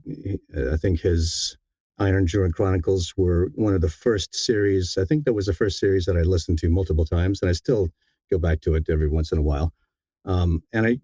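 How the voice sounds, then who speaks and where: A middle-aged man with a low, mellow voice talks casually as an interview guest. He is reflective and a little halting, often doubling back on words. The audio is dry and slightly dull. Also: slightly rough, no echo. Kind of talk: casual conversational storytelling.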